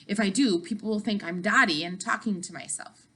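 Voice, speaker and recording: A woman's voice: medium pitch, a little fast, fairly bright tone, slightly rough. A woman voicing a kindly, secretive old grandmother in a storybook. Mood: hushed, careful.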